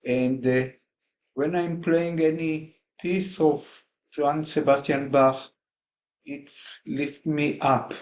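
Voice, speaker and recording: A man whose first language is Hebrew, sharing a personal reflection in a class discussion, hesitant with many pauses and fillers. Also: on the high side, steady tone, darkish tone. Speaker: class participant.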